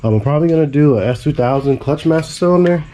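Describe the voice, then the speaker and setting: A man's voice: a little high, fast talker, dark tone. A man with a head cold, stuffy and congested, casually rambling through a car build in a garage. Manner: laid-back, rambling, casual swearing.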